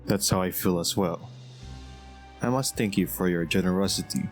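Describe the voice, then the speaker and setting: A man voices a young male traveler in a video game. He sounds calm, polite and earnest, with a very low pitch. Soft music is underneath. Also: moderate pace, lightly acted.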